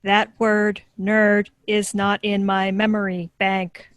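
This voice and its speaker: A woman's voice: medium pitch, level tone, slow, dry recording, natural voice. A woman playing an obedient, emotionless child android in a sitcom table read, with a stilted, literal, mechanical delivery. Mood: flat, obedient.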